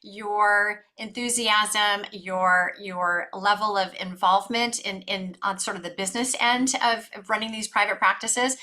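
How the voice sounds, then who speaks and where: A woman's voice: mid-range voice, steady tone, a little slow, hardly any pauses, sharp tone. A woman hosting an interview over a video call, asking her guest questions with curious interest. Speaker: interview host.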